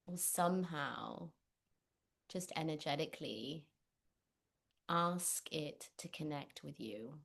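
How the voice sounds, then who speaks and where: A woman leading a guided meditation, speaking gently and unhurriedly with long pauses. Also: deep voice, gravelly, breathy edge. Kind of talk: guided meditation, spoken instruction.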